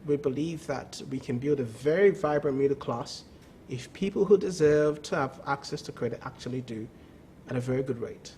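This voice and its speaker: A man's voice: mid-range voice, normal speed, few pauses. A man speaking as a credit bureau executive in a TV business interview, emphatic, repeating words and often asking "okay?". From not from the country of the show.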